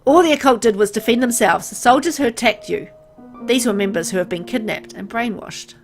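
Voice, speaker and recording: A woman voicing an embittered, world-weary immortal man in a visual novel, explaining things gravely. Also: on the high side, brisk, lightly acted. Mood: bitter, explaining. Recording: loud music behind voice.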